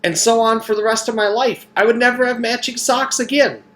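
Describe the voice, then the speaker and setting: A man reading a children's storybook, voicing an excitable, chatty schoolboy in an eager, emphatic way. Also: very high-pitched, a little fast, pitched above the performer's own voice. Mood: excited. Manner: eager, emphatic, groaning for attention. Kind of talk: children's storybook read-aloud.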